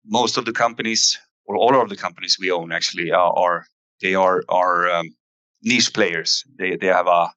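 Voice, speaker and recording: A man giving a company investor presentation in a halting way, pausing often with fillers. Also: low-pitched, sharp tone, no room sound. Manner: halting, hesitant delivery with frequent fillers. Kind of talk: investor earnings presentation, semi-scripted.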